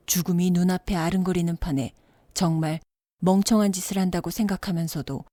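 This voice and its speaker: A woman storyteller reading a scripted bedtime story in a measured, expressive way. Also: on the low side, no room sound, clean studio sound.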